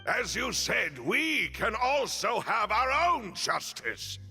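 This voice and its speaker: An actor playing a loyal, defiant and teasing manservant with formal, theatrical diction in a video game. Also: male voice, high voice, very animated, slow pace, sharp tone, in a large hall, heavily acted. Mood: indignant, defiant. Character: loyal male servant defending his young lady, defiant, teasing. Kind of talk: dramatic video game voice acting. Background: music.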